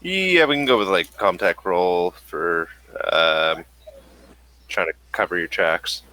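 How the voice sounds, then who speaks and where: A man acting as game master of a tabletop role-playing game, relaxed and quick to laugh. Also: sits low. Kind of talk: running a tabletop game online.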